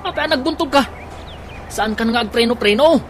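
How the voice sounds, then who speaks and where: A man's voice: very high voice, a little fast, a bit bright. A man with an Ilocano accent playing a lazy, impatient, bossy employer in a radio comedy drama, giving curt commands. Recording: noisy, with ambient and vehicle sounds.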